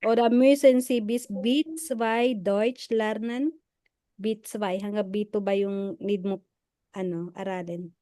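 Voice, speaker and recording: A woman's voice: medium pitch, steady tone, takes it slowly, dark tone, dry recording, clean studio sound. A woman teaching German, patiently rephrasing her questions and switching to Tagalog to help a student. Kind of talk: classroom questioning.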